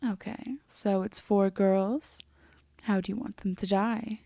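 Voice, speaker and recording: A woman playing a composed, teasing female demon in an intimate roleplay audio drama, often slipping into a breathy half-whisper. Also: medium pitch, fairly even intonation, takes it slowly, dark tone, dry recording, clean studio sound. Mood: calm, menacing, teasing.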